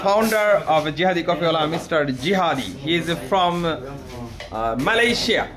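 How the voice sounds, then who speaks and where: Halting Bangladeshi man vlogging in a crowd. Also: on the high side, expressive. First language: Bengali. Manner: hesitant, many fillers, halting non-native English. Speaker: vlogger host. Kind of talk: casual walkaround vlog. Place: busy coffee training room.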